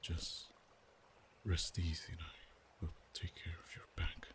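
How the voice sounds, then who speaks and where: A man voicing a protective male dragon in human form, tender yet teasing, speaking softly and often in a whisper for an ASMR roleplay. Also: sits low, completely flat delivery, slow pace. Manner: soft, hushed, often whispered.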